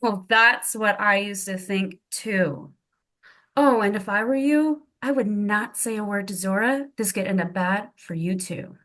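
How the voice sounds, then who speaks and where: A woman playing a stern, coolly threatening medical colleague with a deliberate, pointed delivery at a drama table read. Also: medium pitch, fairly bright tone, lightly acted. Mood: cool warning.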